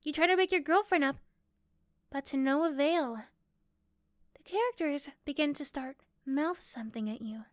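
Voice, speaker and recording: A woman's voice: high-pitched, normal speed, no room sound, clean studio sound. A woman reading scripted second-person story narration for a roleplay. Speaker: narrator and performer.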